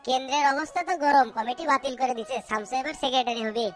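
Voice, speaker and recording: Performer as boastful cartoon village politician man, very high pitch, rapid, blustering, scheming, colloquial. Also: faint music behind, close to an everyday voice. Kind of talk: cartoon comedy dialogue.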